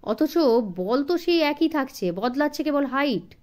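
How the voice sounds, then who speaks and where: Confident male sleuth for a children's audio story, instructive, higher register played by a woman. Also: lively intonation, measured pace. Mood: instructive, assured. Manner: instructive, assured. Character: clever male detective, confident elder brother explaining deductions.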